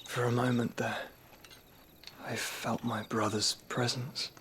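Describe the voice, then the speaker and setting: A solemn young nobleman from a fantasy game, with a middling male pitch. He speaks gravely and courteously, as if burdened by duty, with careful formal phrasing. Faint ambient noise sits behind the voice. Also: level tone, takes it slowly, a bit bright. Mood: troubled. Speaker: video game character. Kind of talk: dramatic cutscene acting.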